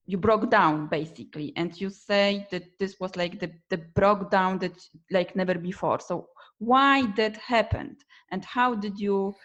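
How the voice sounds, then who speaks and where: A woman's voice: medium pitch, dull audio. A woman from Poland with a Polish accent, hosting an interview and asking questions in a friendly, chatty way with many "like"s. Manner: friendly, laughs often, says "like" a lot. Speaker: podcast host. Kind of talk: casual interview conversation.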